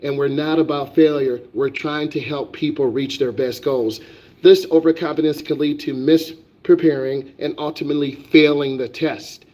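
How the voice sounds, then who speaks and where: A man's voice: a little high, fairly even intonation, moderate pace, darkish tone. A man who is a driving instructor, lecturing on a live stream with emphatic, repetitive, preachy delivery. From United States.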